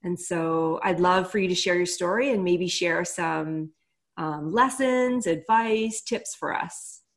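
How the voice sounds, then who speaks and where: Casting: female interview host, slightly low register, conversational and encouraging. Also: takes it slowly.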